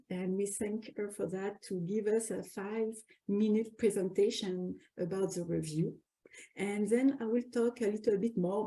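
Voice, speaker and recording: A woman with a French accent hosting a webinar on a video call, halting often as she introduces the program. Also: mid-range voice, dark tone, low-quality recording. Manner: many fillers and restarts, halting English. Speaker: webinar host and co-editor. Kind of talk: hesitant welcoming introduction.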